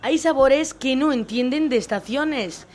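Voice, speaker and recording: A woman reporter reading a scripted news package in a smooth, measured way. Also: high voice, darkish tone.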